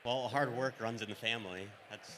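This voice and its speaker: A man's voice: mid-range voice, fairly quick, fairly bright tone, slight background noise. A man interviewing restaurant owners, asking long, rambling, friendly questions.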